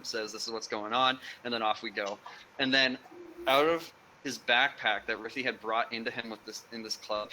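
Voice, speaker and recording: Young man, podcast guest, very bright tone, lively storytelling, faint hiss behind. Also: mid-range voice, poor audio. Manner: animated storyteller, acts out quoted people. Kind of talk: casual storytelling.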